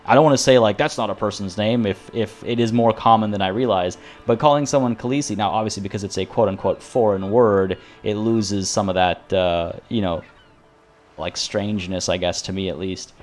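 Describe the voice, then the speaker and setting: A man's voice: on the low side, brisk, darkish tone. A man live-streaming a game, chatting to his viewers in a rambling way full of restarts, and saying he is losing his voice. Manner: rambling and tangential, with many restarts. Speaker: streamer playing a video game.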